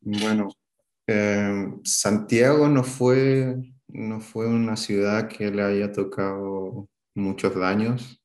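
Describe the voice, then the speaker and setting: A man in his thirties from Chile with a Chilean Spanish accent, recalling an event as an interviewee, halting and hesitant with many fillers. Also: lowish voice, fairly even intonation, a little mellow, roomy sound.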